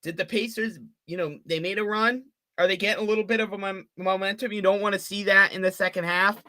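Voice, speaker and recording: A man of twenty giving fan play-by-play on a live stream, calling the action quickly and chatting with viewers between plays. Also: high-pitched, fairly even intonation, bright, forceful tone. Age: 20s. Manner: rapid call of the action mixed with chatty asides to viewers.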